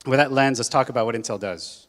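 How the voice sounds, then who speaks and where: A man's voice: mid-range voice, quick pace, a bit bright. A man from Hawaii giving a casual, conversational talk to students as a guest speaker, with lots of filler ums and uhs. He says he is jet-lagged. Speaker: guest speaker, corporate general manager. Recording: long hall reverb.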